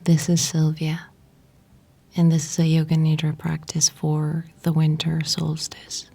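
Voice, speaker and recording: A woman guiding a yoga nidra meditation in a breathy, whispery, husky voice, soothing and unhurried, with pauses between short phrases. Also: sits low, monotone, warm tone. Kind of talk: guided meditation narration.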